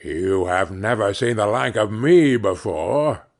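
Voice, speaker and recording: A man playing a jolly giant spirit, husky and slow. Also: lowish voice, animated, voice pushed down, heavily acted. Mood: genial. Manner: cheery, commanding.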